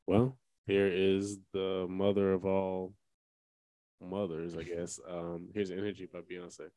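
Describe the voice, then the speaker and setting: Low-voiced casual male radio host. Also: monotone, slow, dark tone, no room sound. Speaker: host of a music radio show. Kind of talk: casual radio hosting, introducing songs.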